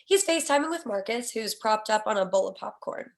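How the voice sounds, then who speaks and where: A woman's voice: mid-range voice, expressive, brisk, creaky. A woman narrating a screenplay's stage directions in a scripted read.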